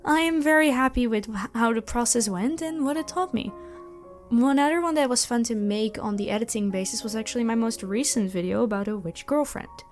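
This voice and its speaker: A young woman with a fairly high voice talks through viewer questions in a chatty way. Her delivery is expressive, stretching some words for emphasis. Music plays softly behind her. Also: brisk. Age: young adult. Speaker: ASMR audio roleplay creator and voice actor answering questions. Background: background music.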